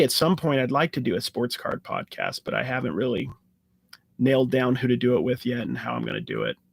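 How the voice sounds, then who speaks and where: A man's voice: mid-range voice, creaky, no room sound. A man hosting a casual live-stream Q&A, talking in a loose, rambling way with restarts and fillers. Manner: enthusiastic about card hunting. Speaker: sports card channel host.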